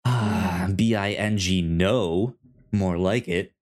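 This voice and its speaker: A man co-hosting a casual discussion show, speaking haltingly and often stammering over the starts of his words. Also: low voice, darkish tone, soft background music. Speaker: podcast co-host.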